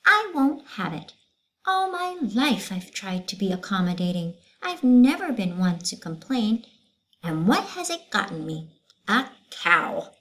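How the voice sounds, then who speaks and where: A woman's voice: mid-range voice, very animated, unhurried, a bit bright, pitched above the performer's own voice, poor audio. A woman voicing a long-suffering woman schoolteacher in a storybook, emphatic and full of complaint. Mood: exasperated.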